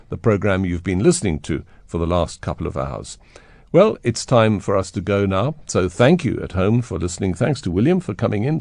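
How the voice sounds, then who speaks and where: Low gravelly guy hosting a radio interview, dry close studio sound. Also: a little mellow, no echo. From South Africa. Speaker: radio host. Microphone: studio microphone.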